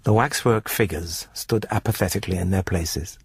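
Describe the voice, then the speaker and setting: A man's voice: deep voice, normal speed, slightly rough, no echo. A man giving dramatic scripted narration for a horror radio story. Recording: music bed under the voice.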